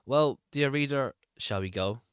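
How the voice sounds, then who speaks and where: A man's voice: mid-range voice, a little slow, smooth, no room sound, studio quality, natural voice. A man reading aloud a visual novel as a sad, medicated young woman, wistful and confiding. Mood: brooding narration. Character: sad, medicated young woman narrating her bleak routine. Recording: music playing behind the voice.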